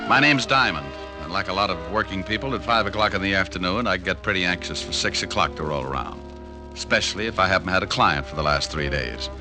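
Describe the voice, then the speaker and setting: An actor playing a hard-boiled private detective narrating in a dry first person, in a 1940s radio drama. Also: male voice, low voice, expressive, fast talker. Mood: wry. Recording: old radio narration over music.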